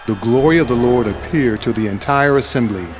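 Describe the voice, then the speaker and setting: A man reading scripture aloud as a dramatized narration, measured and solemn. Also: medium pitch. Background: music.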